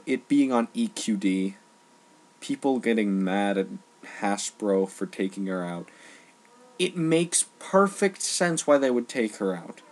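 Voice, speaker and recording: A man delivering an informal opinion rant, emphatic and halting, often repeating phrases for stress. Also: medium pitch. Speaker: online ranter.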